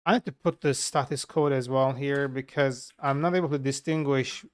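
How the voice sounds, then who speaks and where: A man from Turkey with a Turkish accent, a software developer chatting frankly and with profanity to his viewers while coding live. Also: medium pitch, steady tone, moderate pace. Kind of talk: casual live coding stream chat.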